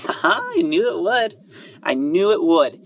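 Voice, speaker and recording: A man's voice: sits high, wildly swooping pitch, slow pace, gravelly, voice pushed up, exaggerated voice. A man voicing an eager, chatty female inventor who is loyal and worried, speaking in a hesitant, rambling way. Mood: excited, chatty. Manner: hesitant, rambling, trailing off and sighing. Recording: muffled band-limited audio under music.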